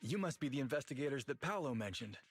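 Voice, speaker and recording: A man's voice: mid-range voice, expressive, fast talker, faint music behind, lightly acted. A man voicing an earnest, determined labor union leader in a video game. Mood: earnest, resolute.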